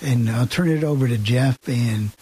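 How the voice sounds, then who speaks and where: A man's voice: medium pitch, moderate pace, dark tone, husky. A man hosting a radio show with casual, teasing chat.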